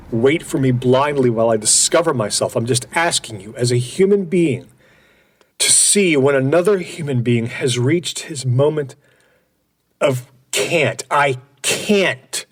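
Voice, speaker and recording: An actor playing an anguished, burned-out man in his forties, narrating his own story haltingly in an audio drama. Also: medium pitch, slightly rough. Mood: desperate, pleading. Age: 40s. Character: burned-out, anguished middle-aged office man recounting his breakdown.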